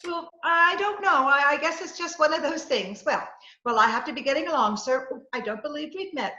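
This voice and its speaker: A woman's voice: sits high, animated, a little fast, bright, forceful tone. Actress as eager flustered woman reporter, radio comedy-mystery, chattering, dull sound, roomy echo. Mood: nervous, chattering. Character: scatterbrained, eager, plucky woman newspaper reporter. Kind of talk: old-time radio comedy-mystery acting. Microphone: video call. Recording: dull, roomy video call recording.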